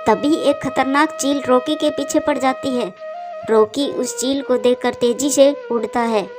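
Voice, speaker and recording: Woman narrator for kids story cartoon, high pitched, music underneath. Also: steady tone, unhurried. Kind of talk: scripted children's story narration.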